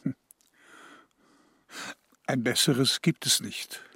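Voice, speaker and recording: An actor playing a small, assured, touchy advice-giver in a children's radio play, speaking in terse statements. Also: male voice, medium pitch, extremely slow, pushed and bright, slightly rough, dry recording, studio quality, full character voice.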